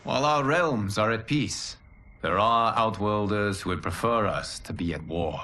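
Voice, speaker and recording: Solemn, low-voiced fire god. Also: male voice, sharp tone, a little hiss or hum. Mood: explaining gravely. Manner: formal, measured, authoritative. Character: calm, solemn male fire god, protector and mentor. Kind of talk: video game cinematic acting.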